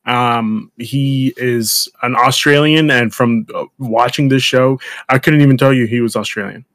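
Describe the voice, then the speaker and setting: A man's voice: mid-range voice, steady tone, a little slow, fairly bright tone, no echo. A man co-hosting a casual chat show, rambling through his thoughts with restarts and fillers. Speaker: podcast co-host.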